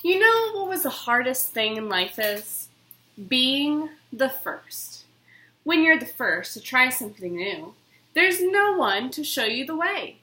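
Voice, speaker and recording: A woman reads a children's book aloud in a theatrical, expressive way. Her voice is bright and swoops widely, taking its time over each phrase in a roomy, echoing space. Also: high-pitched, slow. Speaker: storybook reader. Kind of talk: dramatic children's book reading. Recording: roomy, echoing room sound.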